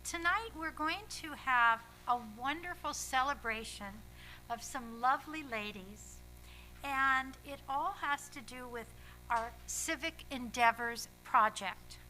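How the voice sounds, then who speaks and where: High, very bright-voiced woman chairing. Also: soft background music, low-quality recording. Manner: measured, pausing, slightly halting. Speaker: school board chair running the meeting. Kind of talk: formal meeting remarks. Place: school board meeting room.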